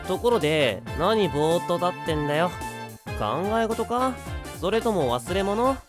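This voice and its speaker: A boyish, breezy voice for an anime schoolboy pal. The delivery is hearty and very animated, with drawn-out, unhurried phrasing. Loud music runs underneath. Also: female voice, lowish voice. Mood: cheerful. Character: hearty, high-spirited teenage schoolboy pal. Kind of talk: Japanese anime-style voice drama acting.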